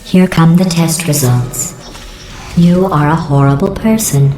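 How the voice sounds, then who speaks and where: A woman voicing a sardonic female artificial intelligence in a video game, deadpan and falsely polite, with a synthetic robotic sound. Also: deep voice, unhurried. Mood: deadpan mockery. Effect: synthetic robotic voice processing. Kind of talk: scripted video game voice acting. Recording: echoey game audio, clearly noisy background.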